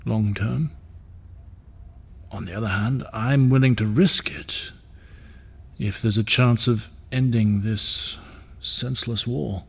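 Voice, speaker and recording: A man playing a gentle middle-aged ruler and father in an audio drama, measured, tender and soft-spoken. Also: lowish voice, fairly even intonation, gravelly, slightly rough, exaggerated voice. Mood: grave, hopeful. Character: gentle fatherly ruler, weary, reassuring widower.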